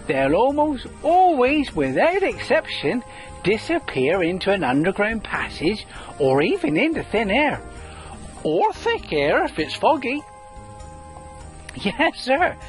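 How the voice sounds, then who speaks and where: A woman's voice: fairly high pitch, poor audio. A woman narrating a children's storybook in a playful, teasing way, chuckling between lines. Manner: chuckling, teasing, sing-song emphasis. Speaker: storyteller and author.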